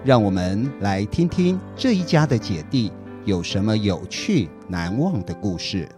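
A man's voice: on the low side, very animated, a little slow, over music. A middle-aged man giving a scripted introduction to a story for children.

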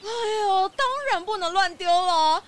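Woman voicing a high-pitched bossy chameleon tour leader. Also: fairly even intonation, moderate pace, over music. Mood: admonishing. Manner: admonishing, instructive. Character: chameleon tour leader, bossy but caring, nature-minded. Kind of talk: children's mystery audio drama.

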